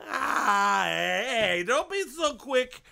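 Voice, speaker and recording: An actor playing a chummy, prying drunk at a bar, with grandiloquent, rambling, slurred speech in an audio drama. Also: male voice, very high-pitched, very animated, extremely slow, creaky, sharp tone, exaggerated voice. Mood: boisterous, needling. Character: tipsy middle-of-the-night barfly, chummy, prying, grandiloquent.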